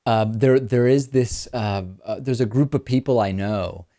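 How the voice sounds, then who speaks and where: A man born in Argentina whose first language is Spanish, hosting a talk show in a relaxed conversational way and answering listener questions. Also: medium pitch, measured pace. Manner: conversational, repeats words when thinking. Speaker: host. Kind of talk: casual chat, reading listener questions.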